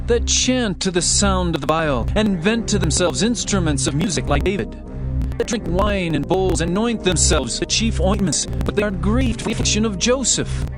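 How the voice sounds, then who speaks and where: A man's voice: high-pitched, animated, normal speed, poor audio. A man playing an earnest herdsman prophet, solemn and declamatory, in a dramatized scripture reading. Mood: solemn, warning. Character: Amos, earnest male herdsman prophet proclaiming judgment. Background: music.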